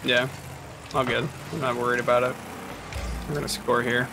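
A man's voice: medium pitch, flat delivery, normal speed, gravelly. A man chatting casually with short, laid-back remarks while playing a game with friends. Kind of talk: casual chat while gaming. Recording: noisy stream audio.

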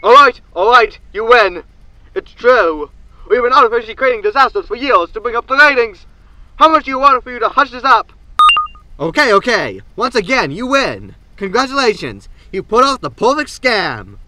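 A man's voice: very high-pitched, sing-song, normal speed, noisy background. A man playing a scornful, flustered media schemer in a sci-fi comedy audio drama, sneering and exasperated. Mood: grudging defeat. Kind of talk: science fiction sitcom audio drama.

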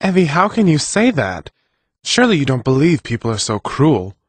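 A kindly young village man in a fantasy audiobook. He speaks earnestly with lively, swinging intonation, in a close dry recording. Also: on the high side, moderate pace, no echo. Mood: shocked. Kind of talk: full-cast audiobook acting.

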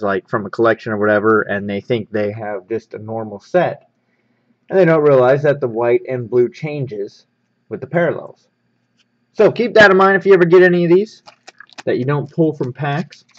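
Male, mid-pitched, upbeat easygoing hobby-show host, casual commentary, slightly lo-fi mic. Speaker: host of a sports card pack-opening show. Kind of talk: casual hands-on unboxing commentary. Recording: slightly dull, lo-fi microphone.

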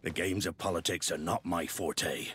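Blunt, harried port commander. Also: male voice, low-pitched, fairly even intonation, moderate pace, fairly bright tone, slightly rough, exaggerated voice. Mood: exasperated. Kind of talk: video game dialogue acting.

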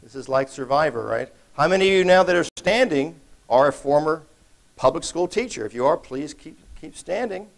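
A man's voice: on the high side, expressive, normal speed, creaky. A middle-aged man delivers a conference keynote in a loose, conversational way, joking with the audience and often restarting his sentences. He is recorded in a large conference hall, standing away from the podium microphone. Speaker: keynote speaker.

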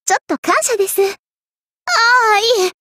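Haughty young Egyptian queen for an anime game: extremely high voice, theatrical commands, flustered pride, dry studio sound. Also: measured pace, sharp tone, no echo, full character voice. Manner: imperious, theatrical, tsundere. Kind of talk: Japanese anime game character voice acting.

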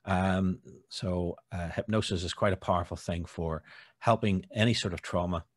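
A man in his fifties speaking informally and a little haltingly as chair of an online meeting. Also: deep voice, steady tone, no room sound, clean studio sound. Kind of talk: informal meeting hosting and self-introduction. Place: indoors on video call. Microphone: computer mic on video call.

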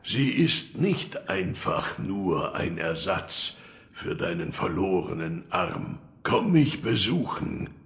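An actor playing a gruff, cryptic old wood-carver in an audio drama, brooding and gravelly. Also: male voice, low-pitched, very animated, slow pace, fairly bright tone, exaggerated voice. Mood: grave, instructive. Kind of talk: audio drama acting of a video game.

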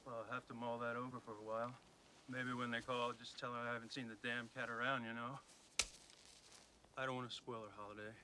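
A man's voice: mid-range voice, level tone, fast talker, noisy background, close to an everyday voice. A man in his thirties playing an uneasy young father, asking tense, wary questions outdoors in a forest, with film music behind. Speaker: film character. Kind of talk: film acting.

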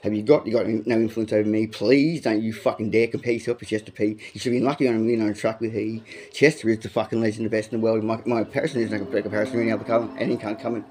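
A man's voice: fairly low pitch, flat delivery, racing, hardly any pauses, darkish tone. A man freestyling profane, aggressive rap bars, repeating lines as he goes. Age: adult, has a son. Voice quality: says he has a big voice. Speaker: amateur freestyle rapper.

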